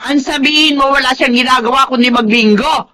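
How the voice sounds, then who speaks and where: A woman's voice: sits high, a little fast, a crowd in the background, lightly acted. An actress playing a stern, protective, disapproving older mother and grandmother in a Tagalog radio drama. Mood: scolding.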